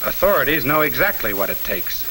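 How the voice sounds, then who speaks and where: A man's voice: medium pitch, very animated, a little fast, pushed and bright, low-quality recording. A man narrating a mid-century instructional film in a blunt, punchy, slangy style, talking straight to the listener. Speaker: military training film narrator. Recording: old film soundtrack, faint hiss.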